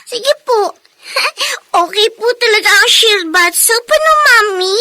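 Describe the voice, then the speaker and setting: A woman's voice: very high-pitched, lively intonation, slow, pushed and bright, no room sound, studio quality, heavily acted. A woman voicing a sweet, obedient little child in a Filipino radio drama. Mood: cheerful.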